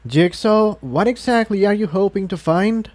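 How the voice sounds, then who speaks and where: A man's voice: high-pitched, moderate pace, pitched above the performer's own voice, lightly acted. A man voicing a fiery, indignant female pony resistance fighter in a fantasy storybook reading. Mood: doubtful.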